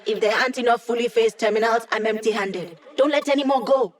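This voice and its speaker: A woman's voice: on the high side, fast talker, natural voice. An actress voicing a cocky, playful young female hacker who guides a squad in a video game, with a teasing, breezy manner. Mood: sly, teasing. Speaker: hacker and mission support. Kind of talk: video game character voice lines.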